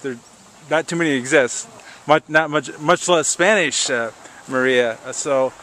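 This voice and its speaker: A man's voice: a little high, measured pace, pushed and bright. A man interviewing people, asking questions hesitantly with many fillers.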